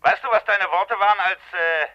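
An actor in a German radio play playing a menacing, mocking American gangster rival, cold and commanding through a telephone filter. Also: male voice, high-pitched, moderate pace, sharp tone, muffled recording. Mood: mocking menace.

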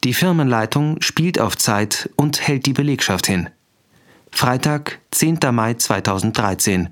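A man's voice: medium pitch, animated, measured pace, fairly bright tone. A man announcing scene headings in a radio drama, in a terse, scripted style like case-file entries. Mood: neutral. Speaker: announcer of chapters, dates and places.

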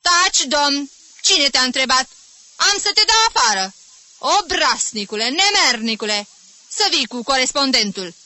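A woman voicing a small, irritable male music teacher around fifty who shouts insults at his pupils and mocks them. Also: on the high side, very animated, dry recording, voice pushed up, heavily acted. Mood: furious. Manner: shouting insults, mocking and ironic.

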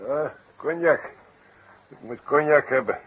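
Husky, groggy circus man. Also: fairly high pitch, unhurried. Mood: groaning, irritable. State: groggy, in pain. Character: groggy, irritable circus man with pounding head. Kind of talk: 1960s Dutch radio drama acting. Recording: dull, muffled 1960s radio recording.

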